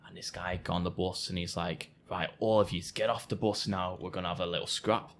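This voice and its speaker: A man's voice: low-pitched, steady tone, brisk, fairly bright tone. A man from Manchester with a Manchester accent, chatting casually as a podcast guest and telling anecdotes in slangy, sweary language. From Manchester, England.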